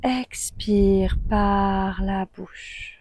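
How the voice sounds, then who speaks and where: Woman, meditation guide, very slow delivery, mellow dark timbre, calm and soothing, long pauses. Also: mid-range voice, no room sound. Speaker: yoga nidra guide.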